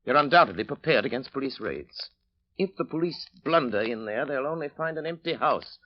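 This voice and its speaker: An actor playing a calm, confident male gentleman detective, brisk and assured, in a 1930s radio drama. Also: medium pitch, close to an everyday voice. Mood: determined. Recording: dull old radio recording.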